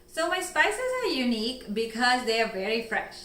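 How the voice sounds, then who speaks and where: A woman from Sri Lanka who founded a spice company, explaining it earnestly with measured answers and a few fillers. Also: a little high, big pitch swings, reverberant, noisy background. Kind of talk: scripted-sounding interview answers.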